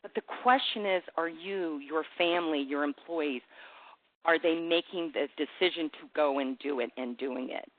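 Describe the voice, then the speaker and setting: A woman's voice: low-pitched, normal speed. Middle-aged woman on a thin phone line. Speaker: leadership coach and speaker. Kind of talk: motivational talk on a conference call. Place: conference call, dry close sound.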